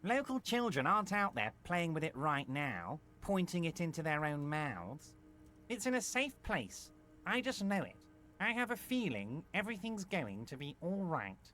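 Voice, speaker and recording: A pompous, syrupy union boss. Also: male voice, fairly high pitch, very animated, moderate pace, pushed and bright, faint music behind. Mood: mock-soothing. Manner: syrupy, patronizing, theatrical. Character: pompous smooth-talking male union boss, middle-aged. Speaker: video game character.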